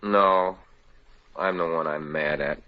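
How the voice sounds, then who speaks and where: A man's voice: low voice, fairly even intonation, slow. An actor playing a self-pitying, wry young man down on his luck in a 1950s radio drama. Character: gloomy luckless young boyfriend. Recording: dull old radio recording.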